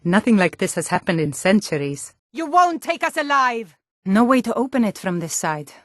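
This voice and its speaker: A woman's voice: medium pitch, big pitch swings, moderate pace, dry recording, clean studio sound, natural voice. A woman voicing a hardy, proud Nord warrior in a fantasy video game, speaking in an earnest, firm and plain-spoken way. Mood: calm, reserved, then shouting, alarmed, then battle-ready.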